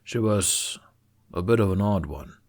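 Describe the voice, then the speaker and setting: A man voicing a stern, gruff, protective father who is a king in a fantasy story. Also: low voice, measured pace, a little mellow. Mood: wistful. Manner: scolding, commanding, protective.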